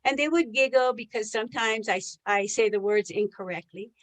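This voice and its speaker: A woman's voice: a little high, fairly quick. A woman presenting a live online sales training as a trainer, a native English speaker who often puts questions to her listeners. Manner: engages listeners with rhetorical questions.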